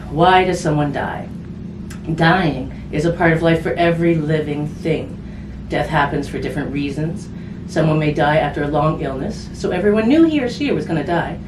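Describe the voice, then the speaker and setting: A woman reading a picture book aloud to children in a conversational way, with simple casual asides. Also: low-pitched. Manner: conversational, simple phrasing aimed at children, with tag questions. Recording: roomy, distant mic with background noise.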